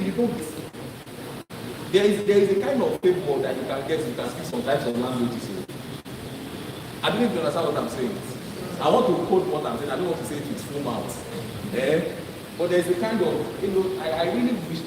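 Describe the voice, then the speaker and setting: A man addresses a live audience, drawing them in with questions and frequent restarts. His voice comes from a distance in a reverberant hall. The recording carries a clear layer of noise. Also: fairly high pitch. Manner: interactive, quizzes the crowd, many restarts. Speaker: speaker presenting to an audience. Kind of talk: live presentation with audience interaction. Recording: echoey hall, voice from afar, noisy.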